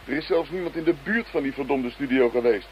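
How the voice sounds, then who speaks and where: A man's voice: mid-range voice, brisk, close to an everyday voice. An actor playing a bored, cold policeman on guard duty, grumbling through a radio play. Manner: grumbling, complaining. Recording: muffled radio play, faint noise.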